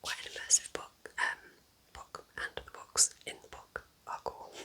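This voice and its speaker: Whispering woman, breathy ASMR book chat. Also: moderate pace. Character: kind witch welcoming a visitor to her cabin. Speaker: ASMR roleplay host.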